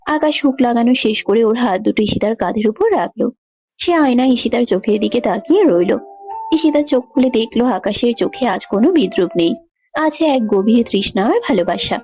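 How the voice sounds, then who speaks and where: Woman narrator with a fairly high voice. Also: expressive, brisk, a little mellow, slightly rough. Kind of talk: scripted story narration.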